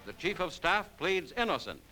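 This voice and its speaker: A man's voice: high-pitched, sing-song, normal speed, bright, forceful tone, a little hiss or hum. A man delivering dramatic, emphatic scripted narration on a 1940s newsreel soundtrack.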